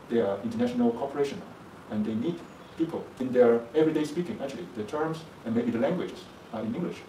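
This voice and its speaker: A male university lecturer whose first language is Chinese, explaining things in an interview with frequent "uh" fillers. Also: medium pitch. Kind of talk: interview for a program promo. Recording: echoey room, distant mic, background noise.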